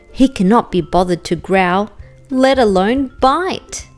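An Australian woman with a fairly high voice reads a children's storybook at a very slow pace. She narrates expressively in careful rhyme over a music bed. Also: a little mellow. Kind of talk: children's picture book read aloud, scripted rhyming narration.

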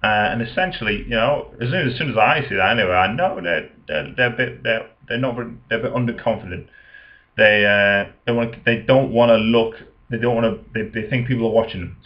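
A man's voice: lowish voice, fairly quick. Young man, dull lo-fi recording. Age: 20s. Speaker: personal trainer giving advice. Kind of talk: casual unscripted advice to camera. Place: small room at home, indoors. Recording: dull lo-fi webcam sound.

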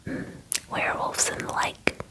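Slow, breathy whispering young woman. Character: friendly young female werewolf salon groomer. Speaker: ASMR roleplay performer. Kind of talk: whispered ASMR personal-attention roleplay. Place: small indoor room at home. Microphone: close microphone, face near camera.

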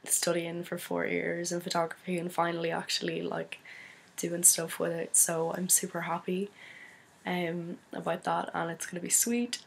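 A young woman from Donegal with an Irish accent, hoarse from a cold, chatting casually to her laptop webcam in a small bedroom and rambling with many fillers. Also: on the low side, flat delivery, moderate pace, a little hiss or hum, low-quality recording. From Donegal, Ireland. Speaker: photographer vlogger. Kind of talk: casual chat to camera.

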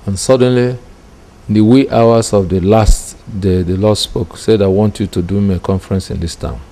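Deep, gravelly Nigerian man, mellow. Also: steady tone, normal speed. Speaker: church founder, apostle. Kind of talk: reflective interview storytelling. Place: small curtained room indoors. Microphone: handheld-style microphone close in front.

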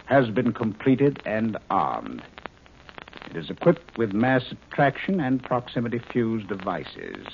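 An actor playing a male scientist in charge of a secret base, dictating a clipped official letter in 1950s radio drama style. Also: medium pitch. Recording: dull old radio recording, faint hiss.

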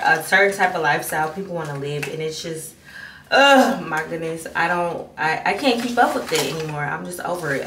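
A woman's voice: lowish voice, fairly bright tone. Young female vlogger, candid and rambling, noisy home recording. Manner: candid, rambling, restarts often. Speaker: beauty vlogger. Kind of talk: casual chat to camera. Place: bathroom at home, indoors. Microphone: camera mic at a distance. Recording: echoey bathroom, distant mic, background noise.

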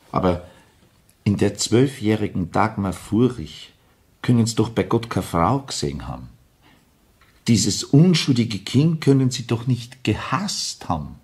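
Appalled interrogating detective, Austrian accent, rather low voice, formal, reproachful, crime radio drama. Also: male voice, expressive, unhurried, darkish tone. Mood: stern, probing. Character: stern, appalled police inspector interrogating a killer.

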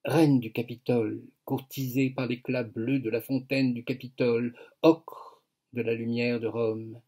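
An older man recites poetry slowly and evenly over a webcam, with little rise or fall in his voice. His tone is husky and unhurried. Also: mid-range voice. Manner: slow, even, measured recitation. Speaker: author reading his poem. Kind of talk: slow poetry reading. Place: home study with bookshelves, indoors. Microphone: webcam on a video call.

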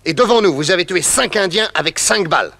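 An actor playing a shrewd, self-assured detective in a radio serial, with formal, precise diction. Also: male voice, sits high, animated, fairly quick, gravelly, sharp tone, full character voice. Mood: triumphant, methodical. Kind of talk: old French radio serial acting.

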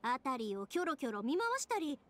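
A woman's voice: sits high, sing-song, a little fast, a bit bright. A woman playing a cheerful, considerate, chatty young woman with a Kansai accent in an anime fantasy game. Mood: friendly, explaining. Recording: music behind the voice.

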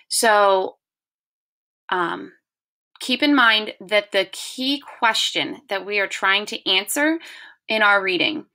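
A woman in her thirties, a primary school teacher reading to young children and explaining their work in simple, deliberate phrases. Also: mid-range voice, sharp tone. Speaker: second-grade teacher. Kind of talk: reading a children's story aloud and explaining the questions to pupils.

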